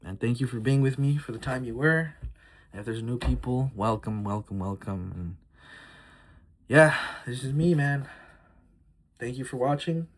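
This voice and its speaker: Husky young man rambling candidly. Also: mid-range voice, normal speed, pauses often. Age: early 20s. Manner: rambling, reflective, heartfelt, frequent fillers. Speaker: vlogger. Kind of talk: casual confessional vlog.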